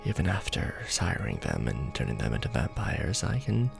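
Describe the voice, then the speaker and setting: A man as a deep, gravelly, courtly vampire lord. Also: huge pitch swings, music in the background, exaggerated voice. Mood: earnest, tender. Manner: formal, deliberate, tender, halting pauses. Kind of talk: ASMR romance roleplay.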